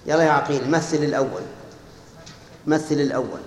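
A man's voice: fairly high pitch, brisk, darkish tone. A man teaching a grammar lesson, quizzing students and correcting them with firm, short replies. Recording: echoey hall, faint hiss.

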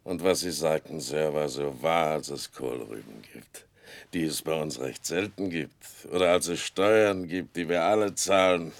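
An actor playing a weary, bedridden old carter in a 1960s radio play, halting and solemn, with a gravelly voice. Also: male voice, rumbling low, dry recording. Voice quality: creaky, gravelly. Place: dry radio studio.

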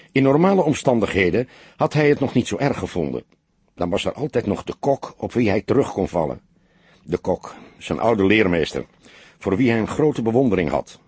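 A man the reading a crime story as an audiobook, in a measured, expressive storytelling manner. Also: medium pitch, slightly rough, dry recording. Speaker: audiobook narrator. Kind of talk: scripted literary narration of a crime story.

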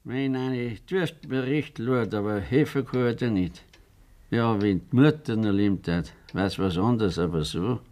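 Schauspieler als resignierter alter bayerischer Austragsbauer. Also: male voice, lowish voice, moderate pace, a little mellow, close to an everyday voice. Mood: resigned, bitter. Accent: Bavarian. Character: resigned old retired farmer, war veteran father. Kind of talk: German radio play acting in dialect.

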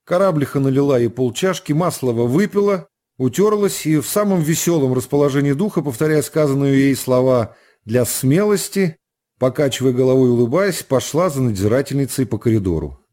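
Mellow male literary narrator, measured delivery. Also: medium pitch, lively intonation. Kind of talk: scripted literary narration for radio.

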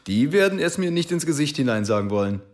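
A man's voice: a little high, big pitch swings, fast talker. An actor playing a defensive, evasive man in a radio drama, brusque and insistent in his denials.

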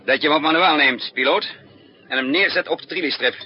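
An actor playing a resourceful fugitive spy in a 1960s Dutch radio drama, with a commanding, clipped manner. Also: male voice, fairly high pitch, lightly acted. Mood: urgent, commanding. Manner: commanding, clipped orders alternating with measured narration. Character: resourceful, urgent male fugitive spy with lost memory. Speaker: narrator and lead actor. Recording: muffled, noisy 1960s radio recording.

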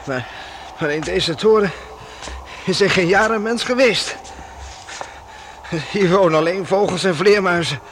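High-pitched, bold young adventurer. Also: male voice. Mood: matter-of-fact. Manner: brisk, challenging, short urging phrases. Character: bold young adventurer, teasing and practical companion. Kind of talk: Dutch radio play acting. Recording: noisy old radio drama recording.

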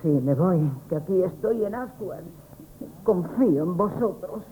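A woman's voice: fairly low pitch, lively intonation, a little slow, mellow, poor audio. An actress playing a shrewd older neighbor woman who plots to save a family, in a 1970s radio play. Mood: commanding. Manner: urgent, conspiratorial. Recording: old radio broadcast with faint hiss.

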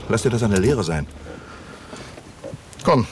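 An actor playing a gruff middle-aged police commissioner in a 1970s radio drama, brusque and commanding. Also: male voice, on the low side, big pitch swings, slow pace, background noise.

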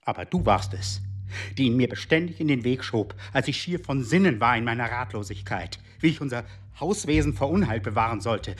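An actor playing a middle-aged husband and secret agent in a radio play, husky, reproachful and self-pitying as he defends himself. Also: mid-range voice, animated, brisk. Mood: weary reproach. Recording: studio radio play with faint music.

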